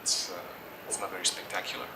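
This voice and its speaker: A middle-aged man from Germany answers interview questions thoughtfully, hesitating and restarting often. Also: quick pace. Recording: echoey, distant mic, noisy background.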